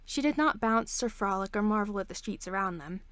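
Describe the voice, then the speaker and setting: A woman's voice: mid-range voice, lively intonation, fairly quick, a bit bright, no room sound. A woman reading scripted fiction aloud as the narrator of an amateur audiobook. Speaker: podfic reader.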